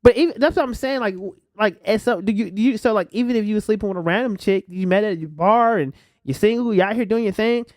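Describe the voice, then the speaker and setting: Fast-talking animated man, casual chat. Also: high voice, no room sound. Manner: animated, rambling, colloquial. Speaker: podcast co-host.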